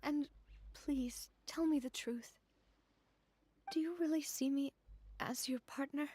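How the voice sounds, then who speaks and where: A woman's voice: high-pitched, fairly even intonation, takes it slowly, a little mellow, dry recording. An actress playing a kind but weary young woman gang boss in a video game, earnest and vulnerable. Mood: tired, relieved.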